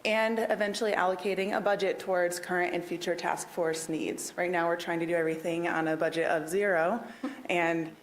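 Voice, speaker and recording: A woman's voice: fairly low pitch, steady tone, a little fast. A woman who is a task force member, speaking earnestly and easily during a council work session presentation. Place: council meeting room.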